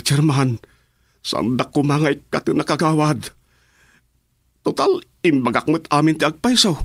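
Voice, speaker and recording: A man's voice: mid-range voice, vocal fry, fairly bright tone, slightly rough, no echo, exaggerated voice. Henpecked village councilman, Ilocano accent, swooping hesitant delivery, dry close sound, radio comedy. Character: henpecked village councilman making sheepish excuses to his chairman.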